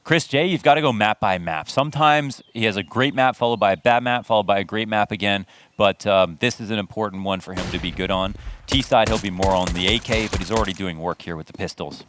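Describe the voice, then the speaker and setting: A man's voice: fairly low pitch, brisk, a bit bright, slight background noise. A man giving conversational colour commentary and analysis on a live esports match.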